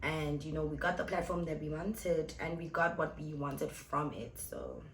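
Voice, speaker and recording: A young woman in her twenties talks straight to her viewers in a chatty, blunt, playful way. Her voice sits on the lower side, and the room around her rings with echo. A faint hum of background noise runs underneath. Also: lively intonation, moderate pace. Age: 20s. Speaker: vlogger and club host. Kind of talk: casual Q&A vlog to camera.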